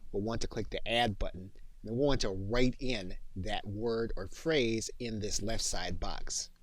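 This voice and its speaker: A man giving a software tutorial as an instructor, explaining methodically step by step. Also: lowish voice, dry recording.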